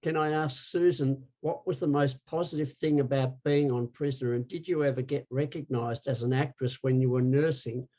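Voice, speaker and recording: A man's voice: medium pitch, steady tone, moderate pace, hardly any pauses, mellow. A man co-hosting an interview show, reading viewers' messages and questions aloud in an informal style. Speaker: podcast co-host.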